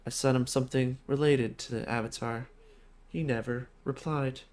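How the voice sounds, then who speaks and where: A man's voice: mid-range voice, fairly even intonation, moderate pace, a little mellow. Mock-serious man bantering on a podcast. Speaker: podcast co-host. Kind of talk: casual banter.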